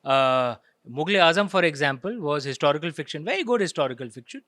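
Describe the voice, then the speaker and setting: A man's voice: fairly high pitch, lively intonation, fast, fairly bright tone, no room sound, clean studio sound. A man with an Indian accent explaining his views in an interview, emphatic and argumentative, often checking in with rhetorical questions. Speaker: author of historical fiction, interview guest.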